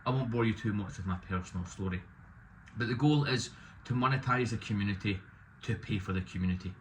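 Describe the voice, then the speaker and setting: Loud, opinionated man in his thirties. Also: fairly low pitch, a little fast, a little hiss or hum. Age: 30s. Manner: loud, opinionated, self-deprecating. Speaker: business owner vlogging. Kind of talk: casual talking-to-camera vlog.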